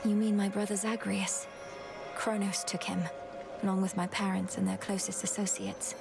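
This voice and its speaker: Woman playing young witch princess, earnest, resolute, mid-pitched, formal phrasing, game character, music behind. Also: little pitch movement, normal speed, gravelly, lightly acted. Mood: earnest, composed. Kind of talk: video game voice acting.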